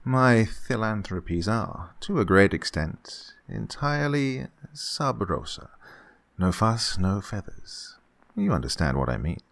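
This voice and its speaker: An actor playing an unctuous, scheming gentleman employer who feigns kindly absent-mindedness, fussy and repetitive, in an old-time radio horror drama style. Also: on the low side, big pitch swings, unhurried, gravelly, exaggerated voice. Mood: probing, pompous. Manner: fussy, hesitant, repeats himself, chuckling.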